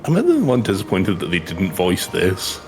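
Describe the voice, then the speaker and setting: A man with a lowish voice and animated intonation chats casually as a stream co-host. Music plays in the background. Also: moderate pace. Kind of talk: casual chat. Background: game music.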